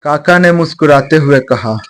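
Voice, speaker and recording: A man's voice: a little high, moderate pace, faint music behind. A man narrating a scripted moral story as a storyteller.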